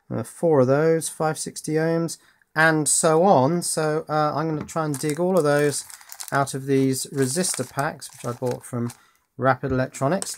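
A man hosting a casual hands-on electronics tutorial, informally thinking aloud as he works. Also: fairly high pitch. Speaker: host.

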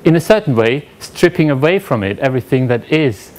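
A man's voice: medium pitch, animated, brisk, a little mellow. German-accented man giving a lecture. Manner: conversational, questioning the audience. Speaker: conference speaker. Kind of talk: academic lecture. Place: lecture room with audience, indoors.

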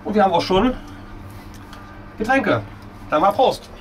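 A man's voice: high voice, lively intonation, measured pace, noisy background. A man in his forties with a German accent, vlogging casually to a camera at arm's length in a small restaurant booth. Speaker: family vlogger. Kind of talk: casual vlog chat to camera. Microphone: camera held at arm's length.

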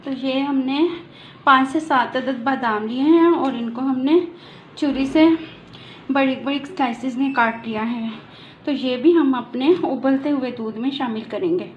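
A woman's voice: sits high, unhurried, muffled recording, a little hiss or hum. A woman hosting a cooking channel, patiently explaining each step of a recipe as she works in her home kitchen on a handheld phone.

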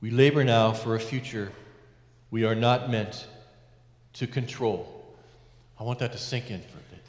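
A middle-aged man, a priest, preaching a sermon from a lectern in a large church, measured with emphatic rises and hushed endings. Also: mid-range voice. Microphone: lectern mic, far camera. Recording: echoey church with long reverb.

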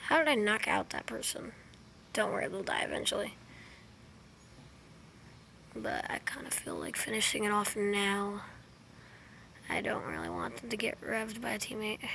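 A woman's voice: mid-range voice, huge pitch swings, normal speed, vocal fry, long pauses, sharp tone. A young woman giving casual gameplay commentary, muttering to herself as she records on a phone. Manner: muttering to self. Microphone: phone screen recorder microphone. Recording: faint background noise, phone recording.

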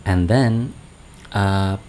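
A man's voice: sits low, very slow, a little mellow, a little hiss or hum. A middle-aged man with an Indonesian accent hosting a casual podcast chat with his daughter, laughing often and restarting phrases. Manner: chatty, laughs often, many fillers and restarts. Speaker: podcast host. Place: small home studio lined with bookshelves. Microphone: studio mic on boom arm, wearing headphones.

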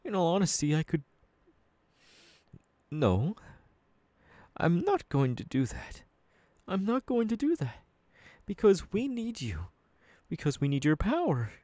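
A man playing a menacing, unhinged young supervillain boss in an ASMR audio roleplay, threatening his underlings slowly and deliberately. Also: fairly high pitch, wildly swooping pitch, warm tone, no echo. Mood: threatening anger.

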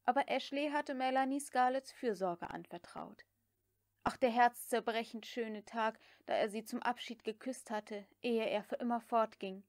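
Audiobook narrator: woman with a higher-pitched voice and dry sound, reading literary fiction from a script. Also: moderate pace, no echo.

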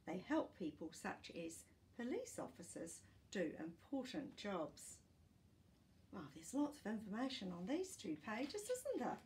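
A woman in her sixties reading a children's picture book aloud in an expressive, teacherly way, as if to young listeners. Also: mid-range voice, unhurried, a little hiss or hum. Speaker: children's storytime reader. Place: small furnished living room, indoors.

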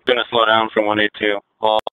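A man's voice: fairly low pitch, completely flat delivery, quick pace, clear, resonant tone, no room sound, narrow, tinny audio. A man working as a state patrol officer, giving terse, clipped reports over a police radio. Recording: noisy police scanner radio audio.

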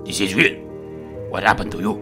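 A man's voice: deep voice, fairly quick, music in the background, voice pushed down, natural voice. A man voicing an earnest male cartoon pig brother with a mock Italian accent.

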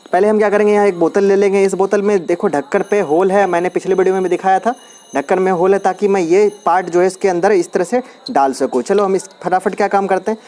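A man's voice: sits high, a little mellow. A man chatting casually as he shows a hands-on experiment step by step, recorded outdoors on a phone held over his hands. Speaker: DIY experiment vlogger. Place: outdoors on a concrete floor, at dusk.

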